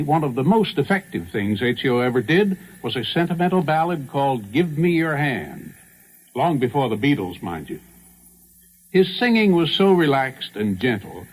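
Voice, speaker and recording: A man's voice: medium pitch, big pitch swings, moderate pace, low-quality recording. A man, presenting scripted introductions and commentary as the host of a music program.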